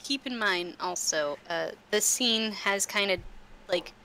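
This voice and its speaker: A woman chatting and narrating as a tabletop game master, halting and repeating her words. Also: mid-range voice, sharp tone. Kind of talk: casual improvised tabletop game narration and chat. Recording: slight background noise.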